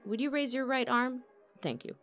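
A woman voicing a busy, matter-of-fact opera wardrobe mistress in an audiobook, chatty and brisk. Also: sits high, a bit bright, soft background music, voice pushed up. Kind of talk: audiobook character acting.

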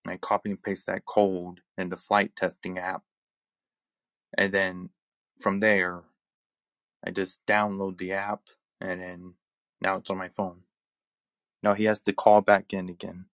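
Twenties guy hosting a chat app talk, low-ish voice, dry close sound, laid back and rambly. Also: flat delivery, measured pace, long pauses, fairly bright tone, no echo. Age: 20s. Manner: easygoing, rambling explanations. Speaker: host. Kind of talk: casual chat on a live audio app.

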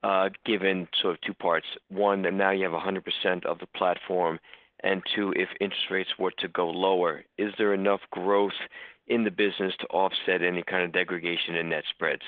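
Analyst guy asking q on earnings call, deep, dry phone audio. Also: flat delivery, fairly quick, no room sound. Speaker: financial analyst asking a question. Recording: dry narrow phone line.

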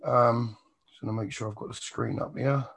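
A man hosting a podcast in a relaxed, chatty way, his voice hoarse and croaky. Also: medium pitch, normal speed. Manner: relaxed, chatty, loose phrasing. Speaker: podcast host. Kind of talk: casual chat.

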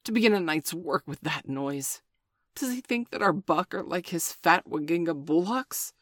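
A woman voicing a proud male father wolf who speaks stiffly, curtly and loudly. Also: mid-range voice, animated, extremely slow, husky, dry recording, clean studio sound, pitched above the performer's own voice, exaggerated voice. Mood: angry. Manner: stiff, loud, curt.

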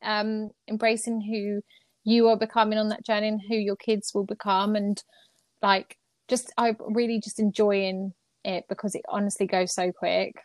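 A woman's voice: mid-range voice, flat delivery, normal speed, darkish tone, no room sound. A woman, chatting casually as a podcast guest, full of ums and likes. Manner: chatty, filler-heavy, laughs easily. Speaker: podcast guest, mother of two. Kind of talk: casual interview chat.

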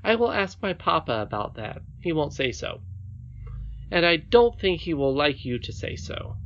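A man's voice: on the high side, big pitch swings, moderate pace, pitched above the performer's own voice. A composed, dignified little princess from a storybook, played by a man, with a lightly raised voice. She speaks politely but firmly, on a slightly muffled home recording. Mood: calm, firm. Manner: quiet, polite, firm. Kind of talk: storybook read-aloud character voice.

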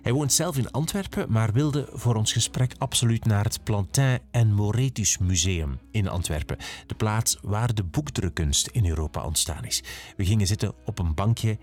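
A man's voice: fairly low pitch, animated, moderate pace, darkish tone, soft background music. A man with a Flemish accent, a podcast host reading a scripted introduction.

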